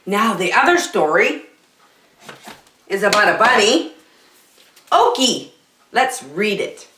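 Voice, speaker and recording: A woman reading aloud as a children's storytime host, in expressive storybook narration. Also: medium pitch, slow, a little hiss or hum.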